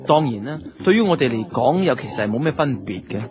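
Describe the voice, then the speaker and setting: A man's voice: medium pitch, expressive, quick pace, a little mellow, natural voice. An actor with a Hong Kong Cantonese accent playing a wry, aggrieved office worker, telling his story in colloquial radio drama narration. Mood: wry, exasperated. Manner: wry, grumbling, conversational. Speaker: narrator and lead character of a radio drama series. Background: background music.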